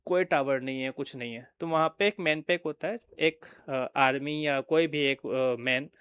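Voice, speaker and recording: A man's voice: fairly high pitch, moderate pace, a bit bright, smooth, dry recording, lo-fi sound. A man with an Indian accent giving an informal product walkthrough, explaining and often checking in with listeners. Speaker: product presenter.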